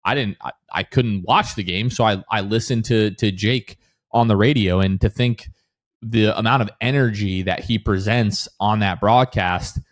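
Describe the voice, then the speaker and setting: A man's voice: lowish voice, moderate pace. An American man hosting a sports podcast in a casual, chatty style.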